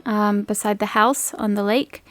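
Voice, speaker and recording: A woman's voice: medium pitch, fairly even intonation, measured pace, fairly bright tone. A woman running a tabletop game as game master, casually narrating and teasing her players.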